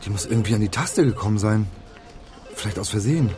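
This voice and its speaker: A man's voice: on the low side, animated, fast, over music, natural voice. An actor playing an awkward, eager young male trainee, hesitant, chatty and over-polite, in a naturalistic radio crime drama. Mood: uneasy.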